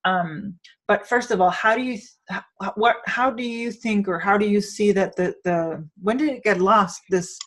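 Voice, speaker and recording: A woman's voice: medium pitch, normal speed. A woman from Denmark hosting a conversational interview, thinking aloud and often searching for her words as she rambles toward a point. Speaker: podcast host and interviewer.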